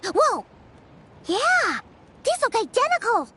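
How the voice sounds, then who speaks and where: A woman voicing a tiny, excitable, chatty childlike fairy companion in a video game, squeaky and full of exclamations. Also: takes it slowly, slight background noise, heavily acted. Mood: amazed. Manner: bubbly, exclamatory, refers to self by name. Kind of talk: animated video game dialogue.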